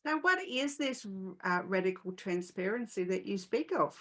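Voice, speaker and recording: A woman hosting a podcast, giving introductions and questions in a presenterly way with pauses mid-sentence. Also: medium pitch, lively intonation, pushed and bright. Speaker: podcast host. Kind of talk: scripted introduction and interview questions.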